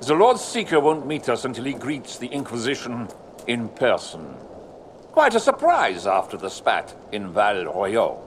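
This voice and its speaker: Fussy courtier lord, haughty, theatrical, hugely animated pitch, video game drama, noisy ambience behind. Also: male voice, unhurried. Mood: conspiratorial. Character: pompous, fussy noble lord, a gossiping courtier.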